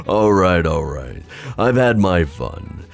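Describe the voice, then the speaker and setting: A man playing a playful, scheming sea god in an ASMR fantasy roleplay, theatrical and teasing, musing aloud in drawn-out phrases. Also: deep voice, huge pitch swings, gravelly, soft background music, full character voice. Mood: teasing, mischievous.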